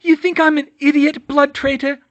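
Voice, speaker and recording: A man voicing a cruel, manic witch woman who hisses and shrieks in a frantic, shrill way. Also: little pitch movement, moderate pace, fairly bright tone, no echo, lo-fi sound. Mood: furious.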